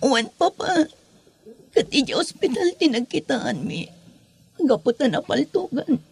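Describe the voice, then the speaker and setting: A woman's voice: sits high, animated, a little slow, creaky, a little mellow, slightly rough, heavily acted. A woman playing an anguished, reproachful grown daughter in a melodramatic audio drama, emotional and pleading. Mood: tearful, reproachful.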